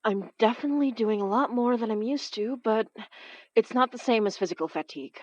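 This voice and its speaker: A woman playing a protective young magic-user in an audio drama, speaking haltingly as if under strain. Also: fairly high pitch, no echo, highs cut off, lightly acted. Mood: strained, urgent. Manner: halting, stammering under pressure. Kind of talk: audio fiction drama acting.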